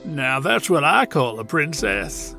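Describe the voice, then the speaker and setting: Formal, stately royal courtier. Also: male voice, fairly high pitch, sing-song, slow, gravelly, sharp tone, faint music behind, heavily acted. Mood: admiring.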